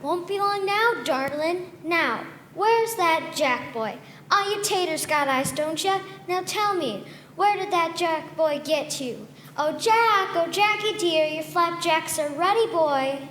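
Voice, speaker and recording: A woman's voice: very high-pitched, lively intonation, slow pace, fairly bright tone, slight background noise, heavily acted. A woman voicing a scheming old woman, a giant's wife, who coaxes a boy toward her cooking pot. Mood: coaxing. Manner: sweetly sinister, coaxing. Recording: echoey hall, live stage.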